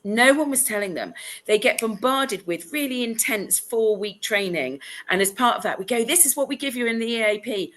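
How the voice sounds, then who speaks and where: A woman with a cold, sniffling, answering as a consultant on a webinar panel over a video call, chatty and animated. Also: a little high, normal speed. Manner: animated, chatty, self-deprecating.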